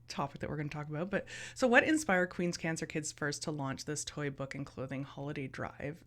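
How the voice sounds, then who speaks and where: A woman's voice: sits low, fairly bright tone. A woman hosting a radio interview, friendly, asking questions and answering with short agreements. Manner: friendly, asks questions with brief agreeing responses.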